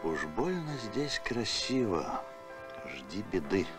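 An actor playing a glum, pessimistic spaceship captain in an animated film. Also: male voice, deep voice, takes it slowly, exaggerated voice. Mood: gloomy. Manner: glum, dour. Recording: loud music under the voice.

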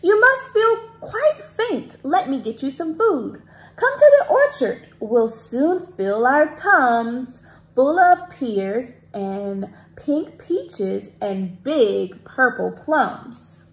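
Woman as a kind little boy, slow and high. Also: big pitch swings, roomy sound, full character voice, low-quality recording. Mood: apologetic, caring. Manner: drawn-out, fussing, exclaiming. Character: kind, polite little boy, fussing and caring.

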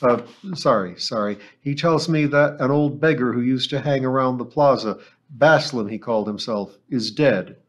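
A male audiobook narrator voicing a gruff, blunt middle-aged ship captain. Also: mid-range voice, normal speed, natural voice. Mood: brusque.

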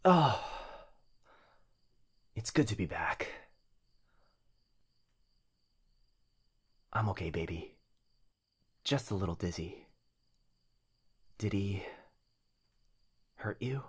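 A man acting as a devoted young boyfriend in an ASMR roleplay, tender, intimate and unhurried yet firm. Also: fairly low pitch, animated, slightly rough, heavily acted.

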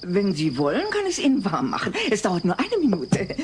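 Nosy, flirtatious neighbor woman, lively delivery. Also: lowish voice, brisk. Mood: eagerly friendly. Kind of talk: dubbed sitcom audio play. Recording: noisy old cassette transfer.

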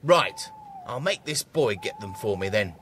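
An actor with a British accent playing a big, bullying, menacing thief who barks orders in a children's audio drama. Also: male voice, fairly low pitch, very animated, unhurried, fairly bright tone. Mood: angry, bullying. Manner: domineering, barking orders. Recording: faintly noisy cassette audio drama.

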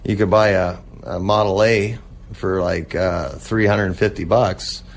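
Low-voiced man chatting in an interview. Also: steady tone, measured pace, vocal fry. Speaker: interview guest, old-time radio collector and restorer. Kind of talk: casual radio interview talk.

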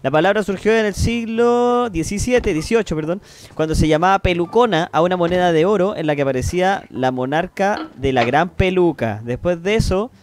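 A young Chilean man with a Chilean accent, chatting casually and loudly on a gaming livestream, swearing freely. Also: high voice. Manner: loud, excitable, swears freely. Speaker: streamer.

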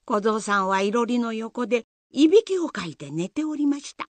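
A woman's voice: on the high side, lively intonation, moderate pace, no echo. A woman narrator telling a scripted folk tale in a storytelling style.

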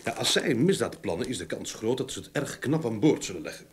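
An actor playing a self-assured, courteous private detective in a radio play, polite and persistent. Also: male voice, medium pitch, very animated, fast talker, husky. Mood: musing, confident. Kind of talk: Dutch-language radio play acting. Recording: noisy old radio drama recording.

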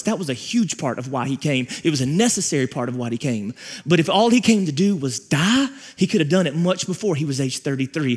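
Pastor, man in his forties, slightly high voice, emphatic sermon delivery with repeated phrases, on stage before a congregation. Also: breathy edge. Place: large church stage with audience.

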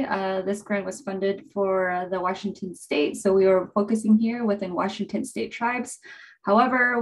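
An upbeat woman leads an online webinar as its host over a video-call mic. She keeps things friendly and flowing, with a scattering of fillers and restarts, at a middling pitch. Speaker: webinar host, project manager. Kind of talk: scripted-leaning webinar presentation.

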